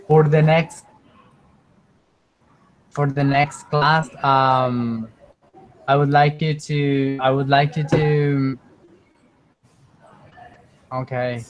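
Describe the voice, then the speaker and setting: Slow, patient male English teacher. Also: medium pitch, dull audio, noisy background. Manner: slow, patient, repeats himself. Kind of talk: online class teaching. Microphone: computer mic on a video call.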